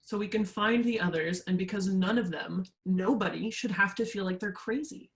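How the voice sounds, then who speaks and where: A woman playing an earnest, vulnerable young woman haunted by grief in a staged reading, speaking in an urgent, halting way. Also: mid-range voice, close to an everyday voice. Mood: insistent.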